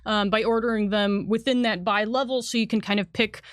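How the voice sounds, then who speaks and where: A woman's voice: medium pitch, steady tone, brisk, no room sound, clean studio sound. A woman speaking as a game designer in an unscripted panel chat, explaining things informally. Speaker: game designer, former editor.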